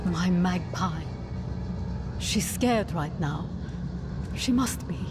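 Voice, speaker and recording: A woman playing a devout, obsessed scholar mother in a fantasy game cutscene, halting and fervent in her delivery. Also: on the low side, animated. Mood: anguished, resigned. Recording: cinematic music behind.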